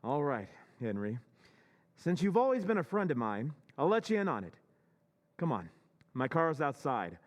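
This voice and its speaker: A man's voice: a little high, big pitch swings, normal speed, pauses often. A man playing a once-timid husband with a secret scheme, speaking in a sly, conspiratorial way in a radio drama recreation. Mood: giddy. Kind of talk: old-time radio drama acting.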